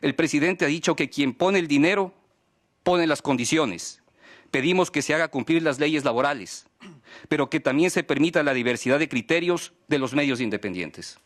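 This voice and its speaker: Male, voice a little higher than average. Advocate delivering a written statement formally. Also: normal speed. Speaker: press freedom advocate speaking at a human rights hearing. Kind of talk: formal prepared statement, read aloud.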